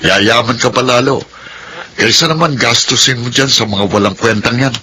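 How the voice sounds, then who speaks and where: An actor playing an exasperated, resentful adult son in a radio drama, sarcastic and complaining. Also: male voice, medium pitch, moderate pace, pushed and bright, slight background noise, close to an everyday voice. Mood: resentful, protesting.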